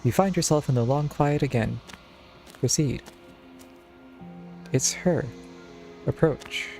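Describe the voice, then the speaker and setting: Streamer, woman, casual playthrough commentary and read-aloud choices, with clear background game music. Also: low voice, slow, dark tone.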